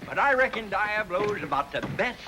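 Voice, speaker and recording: A man's voice: high voice, lively intonation, moderate pace, noisy background. An actor playing a regretful old miner grandfather in a TV Western, with a folksy, hesitant American drawl. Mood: proud, folksy. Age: older. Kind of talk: 1950s TV Western acting.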